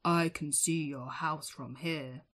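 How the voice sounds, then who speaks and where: A woman doing a booming, roaring giant. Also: steady tone, takes it slowly, full character voice. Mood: menacing roar. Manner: booming, shouted threats. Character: huge roaring male giant, menacing.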